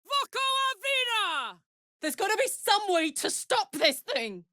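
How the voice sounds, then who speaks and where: An actress playing a brash, earthy, big-hearted woman warrior in a video game, blunt and informal, quick to swear. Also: extremely high pitch, very animated, sharp tone, no room sound, exaggerated voice. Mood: fierce, urgent. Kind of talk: video game character voice acting. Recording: dry close studio recording.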